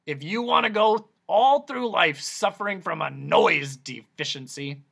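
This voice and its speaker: A man's voice: high-pitched, animated, unhurried, vocal fry, fairly bright tone, slightly rough, voice pushed up, full character voice. A man voicing Doctor Discord, a fussy, eccentric male doctor who lectures theatrically. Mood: explaining.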